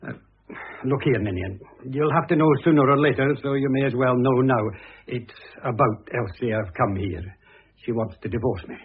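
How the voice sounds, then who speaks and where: An actor playing a gruff, touchy middle-aged father in an old-fashioned radio drama, brusque and impatient. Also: mid-range voice, moderate pace. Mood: defensive. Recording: muffled lo-fi old radio play.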